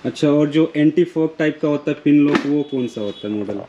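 A man's voice: fairly high pitch, brisk, highs cut off. A man casually asking questions and acknowledging the answers, as a vlogger interviewing shop staff. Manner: inquisitive, repeatedly acknowledging answers. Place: small helmet shop indoors, glass front on busy street. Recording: clear background noise, clicks.

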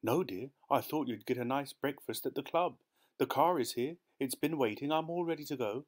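A man's voice: mid-range voice, normal speed, voice pushed up, natural voice. A man voicing an anxious, flustered wife who pleads politely and hurriedly, as a storybook character. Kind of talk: audiobook character voice.

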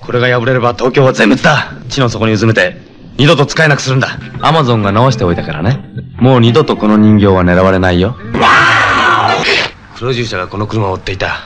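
An actor playing a fierce, righteous young jungle superhero in a 1970s Japanese tokusatsu show, emphatic, terse and commanding. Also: male voice, on the low side, fast talker, close to an everyday voice. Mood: grim, determined. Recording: vintage TV soundtrack with loud music. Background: loud music.

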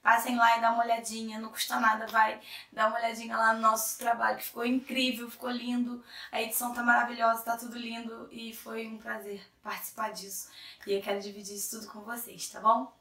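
A young Brazilian woman with a hoarse cold voice, chatting to camera as a vlogger in a chatty, self-interrupting way. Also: a little high, little pitch movement, fast talker, sharp tone, far from the mic. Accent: Brazilian Portuguese. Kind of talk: casual chat to camera. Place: small room indoors. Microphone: camera in front at medium distance. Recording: echoey room, a little off-mic.

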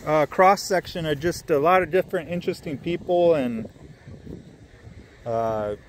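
A man's voice: a little high, unhurried, a little hiss or hum. A man filming outdoors with a handheld camera, chatting and teasing as he narrates what he shows. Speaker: son filming his father for a video. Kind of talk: casual vlog narration and chat. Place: outdoors at a small airfield.

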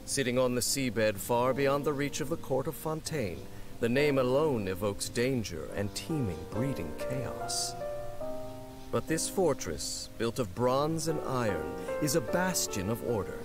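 A man reading scripted promotional narration for a video game in a measured, articulate, polished way. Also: mid-range voice, animated. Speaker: narrator of game character guide. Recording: loud music under the voice. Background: game music.

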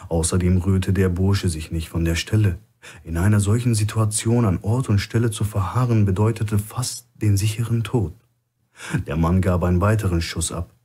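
A man's voice: low voice, warm tone, slightly rough. A man reading a scripted thriller as an audiobook narrator.

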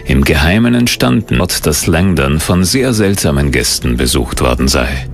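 Very deep, gravelly male documentary narrator. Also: very animated, moderate pace, background noise. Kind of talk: scripted narration. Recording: music bed behind narration.

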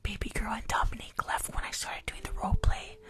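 A woman in her twenties chatting casually with viewers in a soft, breathy ASMR whisper close to a microphone, often repeating her phrases. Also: fast talker. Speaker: ASMR live streamer. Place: small indoor room. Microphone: desk microphone at close range.

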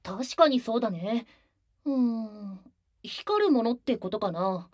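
A male actor voicing a gentle, cheerful young male idol who talks in a sweet, lightly teasing way, recorded on a binaural mic. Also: very high-pitched, expressive, measured pace, no echo, studio quality, heavily acted. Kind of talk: anime voice drama.

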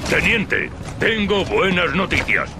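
An actor in a Spanish game dub, with a Spain accent, playing an older, scornful army colonel who speaks with barking authority. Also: male voice, big pitch swings, slow, exaggerated voice. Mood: commanding briefing. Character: stern, commanding older male army colonel, contemptuous. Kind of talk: video game dub acting. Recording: loud music, vehicle rumble behind. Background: loud music, vehicles.

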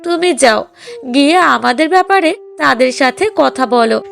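A woman's voice: high voice, lively intonation, normal speed, gravelly, fairly bright tone, faint music behind, exaggerated voice. A woman playing a middle-aged village mother in a cartoon drama, coaxing in a fretful, affectionate way. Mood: agreeable, inquisitive.

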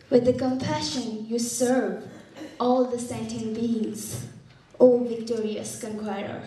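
A woman's voice: on the high side, mellow, a little hiss or hum. Young Nepali woman, earnest conference speech. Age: young adult. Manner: earnest, halting with fillers. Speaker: student presenter. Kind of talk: formal welcome speech. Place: conference hall. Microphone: handheld mic. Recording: echoey hall from far off.

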